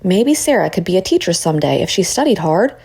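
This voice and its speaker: A woman reading a children's story aloud as an expressive narrator. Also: lowish voice, fast talker, creaky, husky. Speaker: storybook reader.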